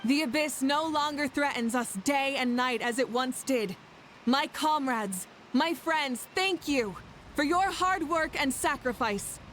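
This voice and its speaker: A woman's voice: high-pitched, measured pace, fairly bright tone, a little hiss or hum. A woman voicing a confident female ruler in a video game, giving a rousing, commanding speech as tournament host. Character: confident female ruler addressing her people. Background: game music.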